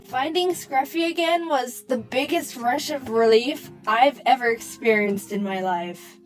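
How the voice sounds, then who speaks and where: Young woman, higher-pitched voice, scripted cartoon storytelling with wry, casual delivery, music underneath. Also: measured pace. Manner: animated, wry comedic asides, casual slang. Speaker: animated storyteller, narrator.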